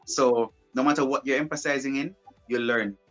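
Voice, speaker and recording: A man's voice: mid-range voice, steady tone, moderate pace, a bit bright, faint music behind. Man repeating himself. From Jamaica. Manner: repeats and restarts phrases. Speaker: broadcast journalist guest. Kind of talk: casual interview answers.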